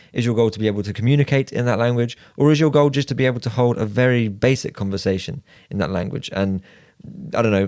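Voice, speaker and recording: A man's voice: lowish voice, darkish tone, dry recording, studio quality. A man co-hosting a casual podcast discussion, giving advice in an orderly way and laying out numbered points. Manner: structured, advising, lays out numbered points. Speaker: podcast co-host.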